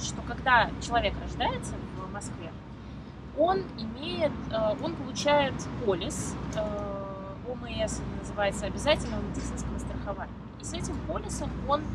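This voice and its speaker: A woman from Moscow answers interview questions in a measured, explanatory manner. She is picked up far from the mic, and heavy street traffic roars behind her. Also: on the high side. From Moscow, Russia. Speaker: interview guest. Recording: distant mic with loud traffic noise.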